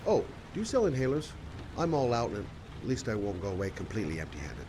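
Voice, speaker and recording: A man doing a deep-voiced weary private eye. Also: wildly swooping pitch, fast talker, noisy background. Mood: wry. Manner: soft-spoken, earnest, sympathetic. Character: weary middle-aged male private detective, gentle.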